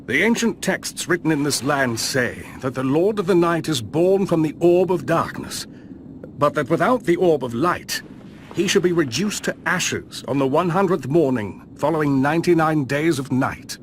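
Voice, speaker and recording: Game role: man as composed learned sage, deliberate, formal, faint noise behind. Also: on the high side, lively intonation, close to an everyday voice. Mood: grave. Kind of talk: dramatic video game cutscene acting.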